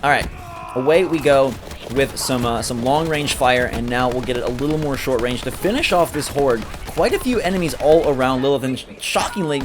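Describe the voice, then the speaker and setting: A man hosting a gameplay video, giving enthusiastic running commentary. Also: a little high. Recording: game sound loud behind voice. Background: video game action noise.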